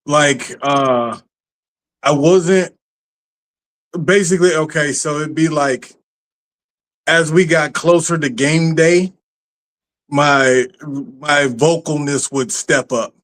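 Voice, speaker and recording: Slow, easygoing man laughing along. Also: on the high side, fairly bright tone. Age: middle-aged. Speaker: podcast co-host. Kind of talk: casual podcast chat.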